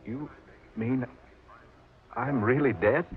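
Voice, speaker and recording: An actor playing a bewildered, frightened man newly dead and in denial, halting and repeating himself, in old-time radio horror. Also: medium pitch, phone-quality sound, music in the background, close to an everyday voice. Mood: alarmed.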